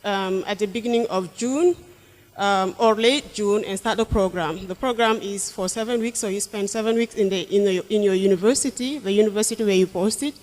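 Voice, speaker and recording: A young woman from Senegal, an English teacher, answering interview questions thoughtfully, with restarts and soft laughs. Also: medium pitch, a little fast. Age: young adult.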